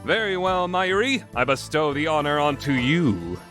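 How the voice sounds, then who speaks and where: A man playing a grandiose, theatrical young mad scientist in an anime visual novel fan dub, declaiming pompously and quick to take offence. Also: a little high, big pitch swings, fairly bright tone, faint music behind. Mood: grandiose, coaxing. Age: young adult. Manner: pompous, declamatory, easily indignant.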